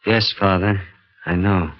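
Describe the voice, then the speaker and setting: An actor playing a tormented little acrobat awaiting execution, halting and confessional, in 1940s radio drama style. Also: male voice, deep voice, steady tone. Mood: brooding, weary. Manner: halting, confessional, stammering when afraid. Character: tormented condemned little man, a stage acrobat three feet high. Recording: muffled vintage radio recording with music.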